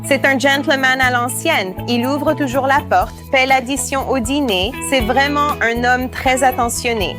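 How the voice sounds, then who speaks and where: A woman's voice: high voice, unhurried, hardly any pauses. A woman voicing a young bride-to-be who wants something original, in a dubbed reality show. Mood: upbeat. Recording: music playing under the voice.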